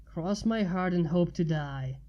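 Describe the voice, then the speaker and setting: A woman's voice: on the low side, measured pace, dark tone, dull audio, lightly acted. A woman voicing a blunt, teasing, skeptical female friend in a story reading. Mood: sarcastic. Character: sarcastic, skeptical woman friend, easily alarmed.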